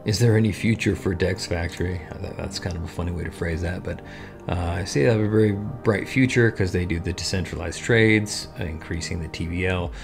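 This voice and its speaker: Man in his thirties, low gravelly voice, chatty and frank host, faint music under him. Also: a little fast. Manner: chatty, frank, fillers like "you know" and "right?". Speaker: crypto investing YouTuber. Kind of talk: casual screen-share review. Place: small room with purple and blue lights.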